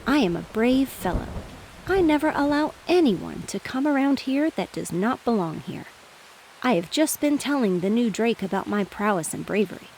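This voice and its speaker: Woman voicing a pompous, boastful storybook rooster, swooping pitch. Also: animated, moderate pace, a little mellow, slight background noise, low-quality recording. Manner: pompous, bragging. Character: boastful, vain red rooster strutting around barnyard.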